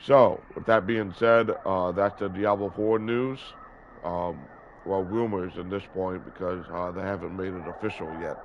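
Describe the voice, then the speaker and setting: A man's voice: deep voice, steady tone, moderate pace. A man in his early thirties hosting a gaming video, stuffy and sore-throated from a cold, rambling with many fillers and correcting himself. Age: 30s. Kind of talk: casual gameplay commentary. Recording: dull lo-fi audio with background noise.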